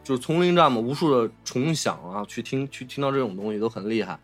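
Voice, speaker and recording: A man's voice: mid-range voice, quick pace, faint music behind. A man with a Mainland Chinese Mandarin accent hosting a casual film discussion, explaining and rephrasing his points as he goes. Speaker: podcast host.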